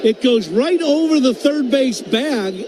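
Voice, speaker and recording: A man's voice: very high voice, lively intonation, a little slow. A man giving conversational color commentary on live baseball radio, explaining the plays. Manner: conversational, explains each play. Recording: loud constant stadium noise behind.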